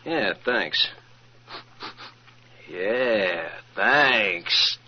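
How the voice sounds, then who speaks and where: A man's voice: lowish voice, lively intonation, very slow, slight background noise. A man acting a cocky, thuggish hired killer with slangy tough-guy talk in an old-time radio crime drama. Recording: muffled old radio recording.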